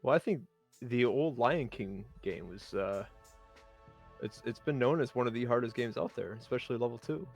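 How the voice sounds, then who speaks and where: A man's voice: mid-range voice, moderate pace, gravelly, faint music behind. A man explaining things casually as a nerdy fan on a discussion panel. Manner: self-described nerdy enthusiast. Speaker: round table panelist.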